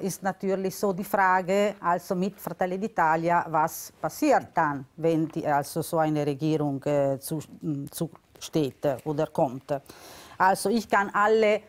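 A woman in her fifties, an Italian native speaker, answering in a TV studio debate in German, hesitant and often searching for words. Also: fairly low pitch. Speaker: election candidate. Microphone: lapel mic.

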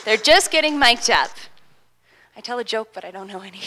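A young woman emcees from an auditorium stage with a handheld mic. Her voice is bright and fairly high, and she chats in an upbeat, loose way between acts. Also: slight background noise. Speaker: event MC. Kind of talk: hosting a live event. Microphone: handheld microphone.

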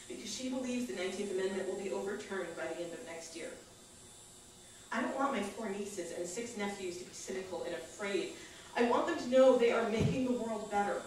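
A woman reading a personal monologue aloud on a small theater stage. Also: mid-range voice. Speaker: performer at a live stage reading. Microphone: distant camera microphone. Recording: echoey theater, far-off camera, faint noise.

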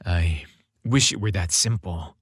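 A man's voice: low voice, a little slow, slightly rough, no room sound, clean studio sound, voice pushed down. A man voicing an affectionate, hesitant and reassuring young man in a romantic audio story. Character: affectionate young man, evasive about his family.

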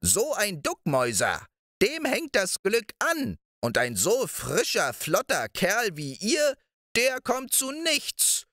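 A man's voice: sits high, bright, forceful tone, dry recording, studio quality, voice pushed up, exaggerated voice. A man voicing a wheedling old witch of the moor in a storybook reading, coaxing and sing-song.

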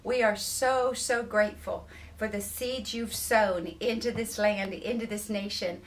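A woman's voice: mid-range voice, steady tone, measured pace. A woman in her fifties, a charity director, thanking supporters in an earnest, grateful way from an indoor office. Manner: earnest and grateful, with emphatic restarts. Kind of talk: thank-you address to supporters. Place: indoor office beside a wall map.

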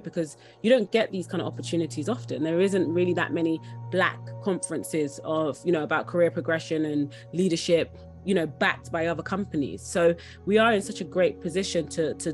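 A woman's voice: on the low side, a little fast, soft background music. A young woman speaking conversationally and enthusiastically as an interview guest on a video call, repeating words for emphasis. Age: young adult. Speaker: interview guest, company founder.

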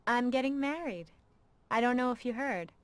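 A woman playing a wistful, guarded young woman in a video game, hesitant and gentle. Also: fairly high pitch, normal speed, dry recording, natural voice.

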